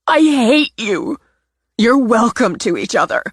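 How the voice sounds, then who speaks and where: A woman voicing a tearful, frantic young woman who pleads in a high, sobbing voice. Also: very animated, unhurried, husky, dry recording, clean studio sound, full character voice. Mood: furious.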